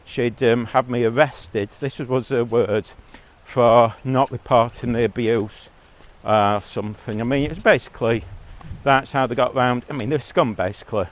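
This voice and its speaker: A man's voice: mid-range voice, normal speed, few pauses. A man giving a blunt, rambling monologue as a vlogger, talking while out on a walk.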